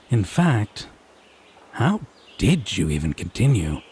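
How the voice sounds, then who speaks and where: Man playing a slow-drawling, smug tipsy fae. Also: lowish voice, very animated, a little mellow, slight background noise, full character voice. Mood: teasing, amused. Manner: drawling, mocking, sardonic, pet names. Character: smug, tipsy male fae, teasing and condescending lover. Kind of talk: slow theatrical audio roleplay monologue addressed to listener.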